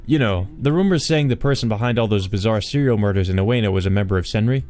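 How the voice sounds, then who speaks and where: A man's voice: lowish voice, quick pace, a little mellow, close to an everyday voice. A man voicing an insolent, carefree male visitor in a visual novel, over-familiar and needling in manner. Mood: slyly probing.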